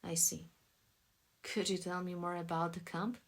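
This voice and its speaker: A woman voicing a measured, persistent man who asks probing questions in an audiobook. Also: fairly low pitch, little pitch movement, breathy edge.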